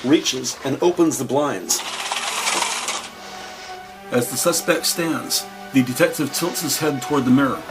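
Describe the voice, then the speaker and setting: A man's voice: medium pitch, a little slow, long pauses, loud music behind the voice, poor audio. A man narrating a scripted crime audio drama with vivid, slightly wry storytelling.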